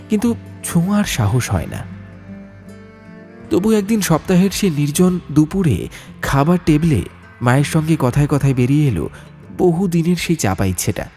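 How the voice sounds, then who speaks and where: A man narrating a scripted horror story in a literary, expressive, dramatic way. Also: fairly high pitch. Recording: music under the voice. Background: background music.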